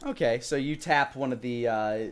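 A man in his thirties improvising narration as a dungeon master, pausing to think as he goes. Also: medium pitch, a little hiss or hum. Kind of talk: improvised tabletop game narration.

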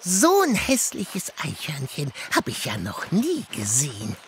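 A woman's voice: low voice, wildly swooping pitch, measured pace, full character voice. A female actor voicing a cheeky, comic animal sidekick in a children's audio drama. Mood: cheeky, amused. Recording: noisy ambient sound effects behind.

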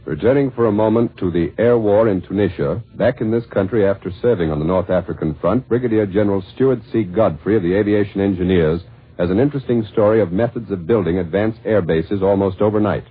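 Low-voiced American anchor, tinny old radio. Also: male voice, brisk, breathy edge. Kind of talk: 1940s radio newscast, scripted. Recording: tinny narrow-band 1940s radio recording.

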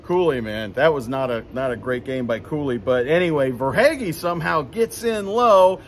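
A man's voice: medium pitch, lively intonation, moderate pace, slight background noise. A man in his fifties, hosting a casual postgame recap in a hockey arena, rambling with many fillers and restarts. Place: indoor hockey arena beside the rink. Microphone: phone camera at arm's length.

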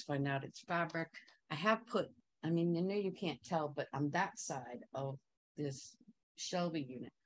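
A woman's voice: deep voice, dry recording. A woman teaching a quilting technique in a chatty, upbeat way, wandering into asides while she sews. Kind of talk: casual sewing tutorial.

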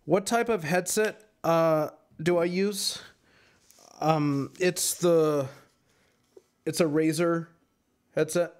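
A worn-out young man in his twenties talks through viewer questions in a loose, rambling way full of ums. His voice sits a little high for a man. He speaks into a close boom-arm mic in a small room at home. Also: takes it slowly, long pauses. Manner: relaxed, tired, rambling with fillers. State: exhausted. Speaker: streamer answering chat. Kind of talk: casual live-stream chat. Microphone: studio mic on boom arm, headset on.

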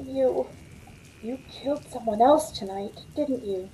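An actress playing a frightened but quick-witted waitress, narrating an old-time radio thriller in a hesitant, breathless way. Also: female voice, fairly high pitch, mellow, lightly acted, rough recording. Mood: fearful, probing. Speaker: narrator and lead of the play. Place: indoor room at home, on a video call.

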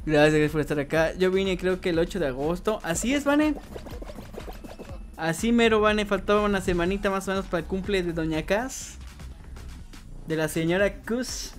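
A man's voice: high voice, normal speed, faint music behind. A young man with a Mexican accent chatting casually on a game livestream, reading viewers' comments aloud and answering them. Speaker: streamer. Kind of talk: casual livestream chat while gaming.

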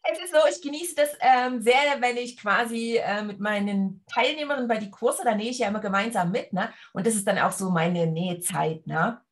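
A woman in her forties from Leipzig, a sewing teacher talking casually on a video call, relaxed with many fillers and hand gestures. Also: medium pitch, fairly quick, few pauses. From Leipzig, Germany. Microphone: webcam on a video call.

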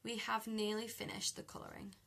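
A young British woman with a croaky voice chatting easily with live viewers while she colours at a craft desk. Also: mid-range voice, level tone, a little fast, fairly bright tone. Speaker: card-making demonstrator. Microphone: overhead camera over a desk.

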